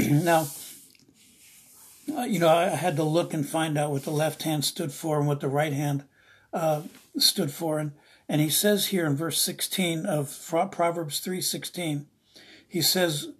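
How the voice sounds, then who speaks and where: Husky-voiced preacher from the US East Coast. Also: mid-range voice. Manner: earnest, rambling, repeats phrases for emphasis. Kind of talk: informal devotional Bible teaching.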